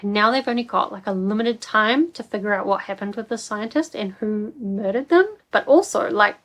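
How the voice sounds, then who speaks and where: A woman's voice: mid-range voice, normal speed, fairly bright tone. A young woman with a New Zealand accent, chatting casually about books in a rambling, filler-heavy way. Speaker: book vlogger. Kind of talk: casual book chat.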